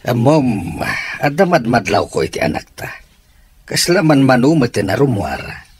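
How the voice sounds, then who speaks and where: A man with an Ilocano accent playing a worried middle-aged father in a radio drama, with a husky, raspy voice. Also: medium pitch, sing-song, normal speed.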